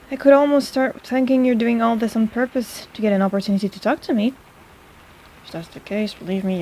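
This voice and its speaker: A woman's voice: medium pitch, darkish tone, a little hiss or hum, lightly acted, poor audio. A woman playing a sarcastic but caring apothecary in an intimate personal-attention audio roleplay, with a playful, chiding manner. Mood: teasing, flustered.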